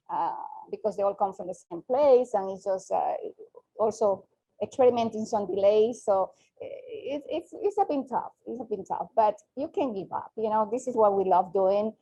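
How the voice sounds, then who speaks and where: Hesitant woman with a mellow voice. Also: medium pitch, moderate pace, poor audio. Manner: hesitant, many fillers and restarts, soft trailing endings. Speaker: panelist, furniture company owner. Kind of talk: panel discussion talk.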